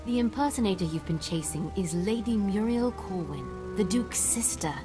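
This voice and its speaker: An actress playing a composed noblewoman diplomat in a video game, speaking formally and earnestly in measured phrases. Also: medium pitch, lively intonation, over music, natural voice. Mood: calm, grave. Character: composed, diplomatic noblewoman official negotiating calmly.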